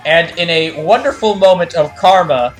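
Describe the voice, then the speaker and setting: Higher-voiced man chatting over music. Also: normal speed, rough recording. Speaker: streamer doing live fan dubs of a video game. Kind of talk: casual livestream commentary.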